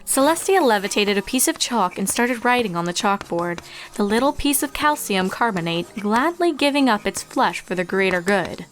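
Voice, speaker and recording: Woman, story narrator, medium pitch, expressive scripted reading, fiction storytelling, music in the background. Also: moderate pace.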